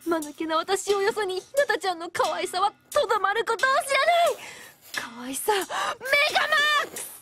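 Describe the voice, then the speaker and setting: A woman playing a flustered, lovestruck high school girl in an anime comedy, rambling dramatically in anxious inner monologue. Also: animated, moderate pace, over loud music. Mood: smitten. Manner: overexcited, dramatic, rambling in self-reproach.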